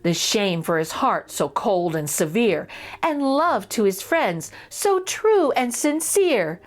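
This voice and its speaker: Expressive woman reading aloud, lively pitch. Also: takes it slowly. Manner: expressive, with asides to young listeners. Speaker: children's story reader and host. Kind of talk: expressive picture book read-aloud.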